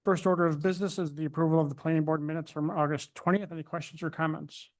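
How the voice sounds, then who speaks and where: A man chairing a town planning board meeting, running through the agenda in a brisk, procedural way. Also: fairly high pitch, fairly even intonation.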